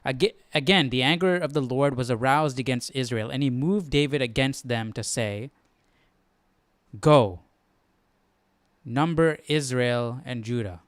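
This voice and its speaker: A man hosting a live stream, speaking informally as he works things out aloud. His medium-pitched voice is clean and smooth, with a dry, echo-free sound. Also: unhurried. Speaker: live stream host of a Bible study. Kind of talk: casual spontaneous chat.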